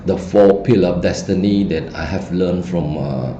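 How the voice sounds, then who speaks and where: A man from Cambodia with a Cambodian accent, whose first language is Khmer, giving a feng shui lesson as an online presentation in halting English, full of fillers and restarts. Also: deep voice, mellow. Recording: dull muffled recording, roomy echo, faint noise.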